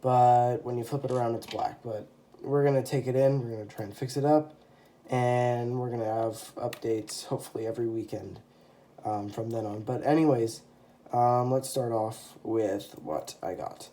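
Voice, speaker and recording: A man's voice: mid-range voice, fairly even intonation, normal speed, mellow, a little hiss or hum. A young man with a stuffy, congested voice from a cold, casually showing off a custom toy figure with lots of ums and self-corrections. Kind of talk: casual show-and-tell. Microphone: phone camera.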